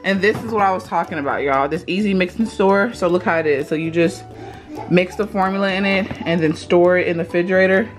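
A woman's voice: lowish voice, fast, over music. Chatty Texan mom vlogging from her kitchen. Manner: chatty, direct, addresses viewers as y'all. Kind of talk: casual vlog chat. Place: home kitchen, indoors. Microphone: handheld camera at arm's length.

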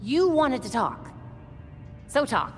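A young woman vigilante from a video game, blunt, teasing and perceptive. Her voice is fairly high and very unhurried. It rings in a long reverb over music. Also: big pitch swings, heavily acted. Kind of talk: video game cutscene acting.

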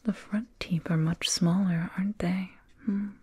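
Woman doing an alien dentist asmr, super breathy lowish whisper, soothing but a bit condescending, right up on the mic. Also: fairly even intonation, a little mellow. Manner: slow, soothing, murmured repetitions. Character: condescending, soothing alien dentist, fussing over a little human. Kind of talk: ASMR roleplay. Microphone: close binaural microphone.

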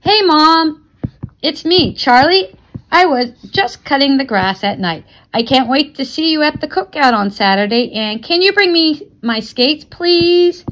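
Woman putting on an eager, high-pitched boy. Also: animated, a little slow, a bit bright, rough recording. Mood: eager pleading. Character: eager, pleading young boy.

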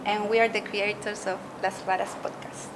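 A woman's voice: mid-range voice, flat delivery, moderate pace, heavy background noise. A woman in her thirties, a native Spanish speaker with a Chilean accent, explaining her work in an interview. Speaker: journalist and podcast creator. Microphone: lapel mic. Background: music.

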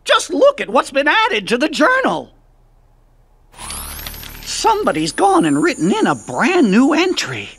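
A man's voice: very high voice, wildly swooping pitch, a little slow, long pauses, a bit bright, full character voice. A man voicing a small, fussy, fretful cricket chronicler in a video game cartoon style. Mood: alarmed.

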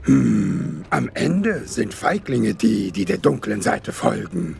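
An actor playing a small, ancient, wise alien Jedi master, croaky and solemn, with inverted word order. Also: male voice, medium pitch, sing-song, slow, a little mellow, soft background music, heavily acted. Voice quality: gravelly, croaky.

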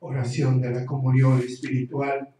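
A middle-aged man, a priest, slowly reciting the prayers of the Mass at the altar of a small church. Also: mid-range voice, monotone, warm tone. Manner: slow, measured recitation. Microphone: handheld mic at times, heard from some distance. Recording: very echoey church, far from mic.

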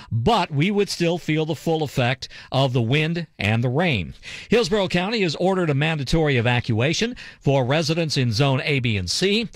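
An American man reading a radio news bulletin in a measured, formal manner as a reporter. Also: a little high, no echo, studio quality.

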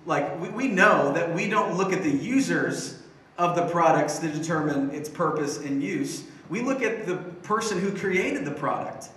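A man's voice: a little high, fairly bright tone, recorded from a distance. A young man speaking as a youth pastor on a church stage into a handheld mic, upbeat and chatty, with many fillers and restarts. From Philippines. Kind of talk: sermon. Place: church stage with band gear. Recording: echoey room, voice from afar.

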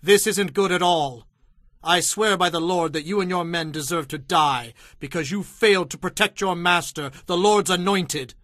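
A man's voice: high-pitched, moderate pace, fairly bright tone, dry recording, studio quality. An actor playing a bold, devout young male warrior in an earnest, declamatory audio Bible drama. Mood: taunting.

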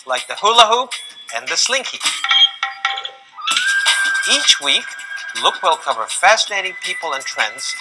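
A man's voice: a little high, a little slow, long pauses, rough recording. A middle-aged man born in Korea, hosting and presenting to camera while standing in a large museum gallery. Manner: lively, emphatic delivery with sweeping hand gestures. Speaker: host. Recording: music bed under the voice.

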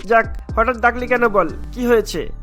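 A woman's voice: a little high, normal speed, a bit bright, natural voice. A woman voicing a kindly, protective middle-aged man in a cartoon, in a reassuring, concerned manner. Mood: caring, concerned. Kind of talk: cartoon voice acting. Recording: background music bed.

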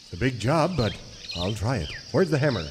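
An actor playing a naive, wholesome heroic cowboy ranger with folksy melodrama in a parody radio serial. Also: male voice, medium pitch, sing-song, fairly quick, lightly acted. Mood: hesitant. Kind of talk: parody of old-time radio serial acting. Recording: reverberant hall, noisy live stage.

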